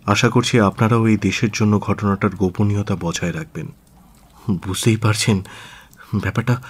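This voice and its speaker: An actor playing an aristocratic government minister in a radio drama, formal, earnest and deliberate, with hesitant pauses. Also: male voice, fairly low pitch, slightly rough. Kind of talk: Bengali radio drama acting.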